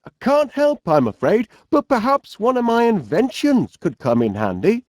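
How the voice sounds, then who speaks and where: A man's voice: high voice, very animated, moderate pace, a little mellow, no echo, studio quality. A man voicing a cheerful, fussy middle-aged inventor with a Northern English accent in a video game, genial and bumbling. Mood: genial, musing. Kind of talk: animated video game character voice lines.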